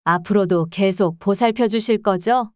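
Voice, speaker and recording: A woman voicing a timid, deferential housekeeper in her sixties, speaking softly and hesitantly. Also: mid-range voice, normal speed, no room sound, clean studio sound, close to an everyday voice.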